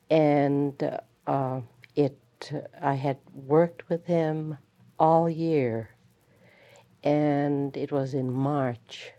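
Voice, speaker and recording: Woman teacher, very low and mellow voice, halting and reflective, dull lo-fi recording. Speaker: schoolteacher. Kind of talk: recounting memories in an interview.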